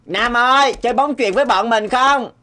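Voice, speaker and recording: A woman's voice: fairly high pitch, moderate pace. A woman voicing an anxious schoolboy in a cartoon, earnest and childlike. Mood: casual. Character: anxious schoolboys in a moral tale. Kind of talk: cartoon dubbing. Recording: loud guitar music behind.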